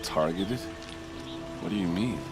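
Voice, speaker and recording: An actor playing a grieving, loyal guard captain in a video game, plain-spoken and somewhat hesitant. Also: male voice, sits low, measured pace, over loud music. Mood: confused, reluctant.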